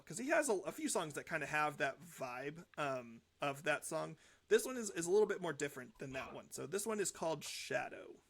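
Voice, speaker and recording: A man's voice: a little high, vocal fry, a bit bright. A young man hosting a music reaction show, talking casually in an earnest, reflective, rambling way. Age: young adult.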